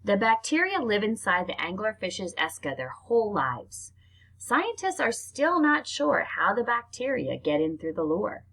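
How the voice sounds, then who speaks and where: A woman reads nonfiction aloud in an expressive, informal way, like reading a book to children. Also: mid-range voice, unhurried, gravelly, low-quality recording. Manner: expressive, informal reactions between passages. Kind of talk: read-aloud narration with casual spoken asides.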